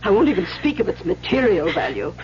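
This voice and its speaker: Muffled old radio sound, actress as older convent Mother Superior, stern, admonishing, sharp-tongued, faint hum. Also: mid-range voice, sing-song, fast, lightly acted. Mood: scolding. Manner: sharp, admonishing, weighty, pointed questions. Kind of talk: old-time radio drama acting.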